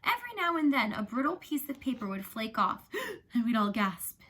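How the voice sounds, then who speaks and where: A woman reads a children's novel aloud in a bright, fairly high voice. Her storytelling is expressive and animated, with the clear delivery of someone reading to young listeners. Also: normal speed. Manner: animated, acts out the dialogue.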